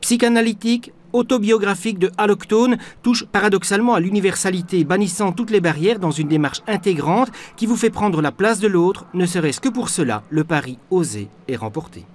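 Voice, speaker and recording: A man narrates a report from a prepared script. His voice sits fairly high for a male narrator, and a light layer of noise runs behind it. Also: expressive, fairly quick, few pauses.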